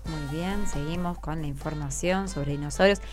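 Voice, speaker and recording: A woman with an Argentine accent and a fairly low voice hosts a radio interview. She draws out answers with short, encouraging questions, while music plays lightly behind her. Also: moderate pace. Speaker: radio host interviewing schoolchildren. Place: community radio programme.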